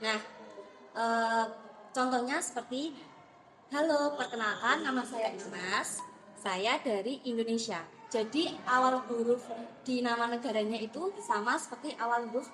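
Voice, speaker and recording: A woman's voice: on the high side, a little slow, fairly bright tone, faint music behind, poor audio. A young woman, a counseling student, leading a group guidance session in a classroom, friendly and encouraging with many fillers. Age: young adult.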